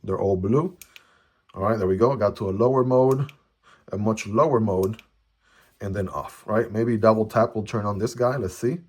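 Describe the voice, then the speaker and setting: A man's voice: on the low side, moderate pace. A man whose first language is Chinese, chatting casually through a product unboxing, often tagging his sentences with "right?". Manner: chatty, frequent "right?" tag questions. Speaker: product reviewer. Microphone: phone camera.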